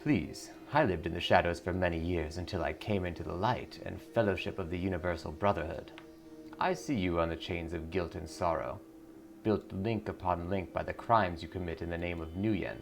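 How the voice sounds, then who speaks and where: A man's voice: low voice, normal speed, gravelly, pitched below the performer's own voice. A man voicing a pious, fatherly cult preacher with a solemn sermonizing manner in video game dialogue. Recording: music behind the voice.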